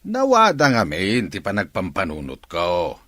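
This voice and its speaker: A man's voice: deep voice, wildly swooping pitch, a little slow, a bit bright, dry recording, clean studio sound, full character voice. An actor playing a distrustful, grumbling father in a radio drama. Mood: suspicious, grumbling.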